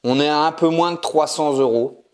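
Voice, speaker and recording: Co-host, French man, easygoing banter, quick to joke. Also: on the high side, smooth. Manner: joking, laughs often. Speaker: co-host of a collector channel. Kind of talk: casual unboxing chat.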